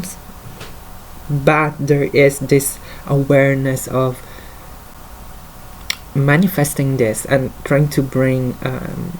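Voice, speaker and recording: A man's voice: medium pitch, darkish tone, slight background noise, rough recording. A man with a French accent, a native French speaker, giving a casual tarot card reading in English, talking hesitantly with many fillers. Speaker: tarot reader.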